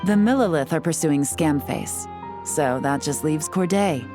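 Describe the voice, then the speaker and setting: A cool female spymaster with a very low voice. She speaks with composed, wry authority in game dialogue, over clearly audible music. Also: a little slow. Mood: businesslike. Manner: composed, smooth, wry, in command. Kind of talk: video game voice acting.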